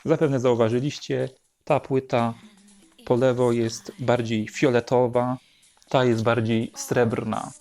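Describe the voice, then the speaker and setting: A man narrating an unhurried show-and-tell as host, in a measured, explanatory way. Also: mid-range voice, level tone, a little mellow, faint music behind. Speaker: host presenting a record collection.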